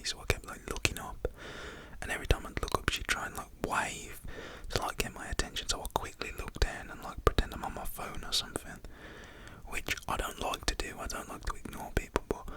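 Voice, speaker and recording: Young man, soft whispered storytelling. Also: rough recording. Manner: casual, rambling with many fillers.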